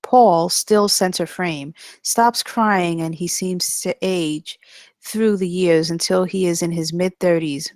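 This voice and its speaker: A woman's voice: fairly low pitch, gravelly, no room sound. A woman narrator reading stage directions in a scripted table read.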